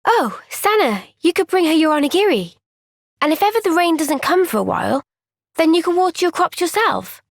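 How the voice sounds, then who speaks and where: An actress voicing a gentle, kind and steady young woman soldier in a game's cutscenes, with a Welsh accent and a soft-spoken, caring, thoughtful way of talking. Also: very high-pitched, brisk, no room sound, clean studio sound, full character voice. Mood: warm. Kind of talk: video game cutscene dialogue. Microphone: close studio microphone.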